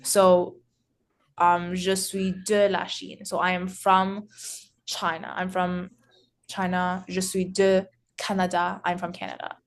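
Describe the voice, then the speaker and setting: A woman teaching French grammar in English, explaining slowly with many pauses, restarts and filler words. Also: on the low side, completely flat delivery. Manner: hesitant, frequent restarts and "so". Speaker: French tutor.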